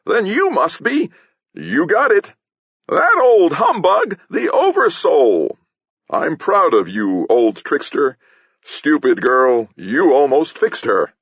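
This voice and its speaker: A man's voice: a little high, wildly swooping pitch, takes it slowly, a bit bright, slightly rough, no echo, highs cut off, voice pushed up, exaggerated voice. A man voicing an old potion seller on stage, a bombastic and scornful trickster with declamatory, exaggerated delivery. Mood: gleeful mockery. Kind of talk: theatrical audiobook character acting.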